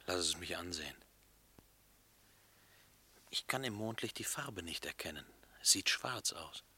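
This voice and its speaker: A brooding romantic in a poetic radio play. The low voice is very bright and forward yet rough-edged and husky, often near a whisper, with deliberate, intimate phrasing. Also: male voice, expressive, heavily acted. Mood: tender, quiet. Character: brooding, dreamy male lover speaking in dark poetic images. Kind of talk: German radio play acting, poetic and hushed.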